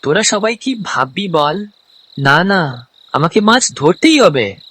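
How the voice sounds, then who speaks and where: A man playing an affectionate but stubborn young village uncle in an animated story. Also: high-pitched, takes it slowly, heavily acted. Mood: hesitant, insistent. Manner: colloquial, expressive.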